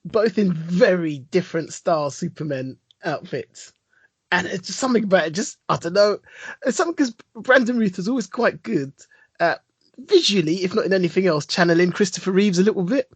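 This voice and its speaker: Husky enthusiastic podcast guy. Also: high-pitched, animated, fairly quick, no room sound. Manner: enthusiastic, rambling, teasing. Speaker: podcast co-host. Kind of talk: casual chat.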